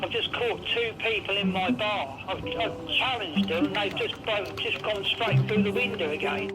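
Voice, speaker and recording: A man's voice: high voice, moderate pace, loud music behind the voice. A man on a telephone call, reporting an incident to the emergency services. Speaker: emergency caller. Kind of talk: phone call reporting a break-in.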